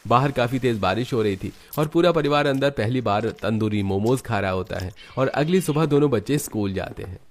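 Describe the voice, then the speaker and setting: A man's voice: mid-range voice, expressive, fairly quick, few pauses. A man reading scripted narration for a cartoon family story.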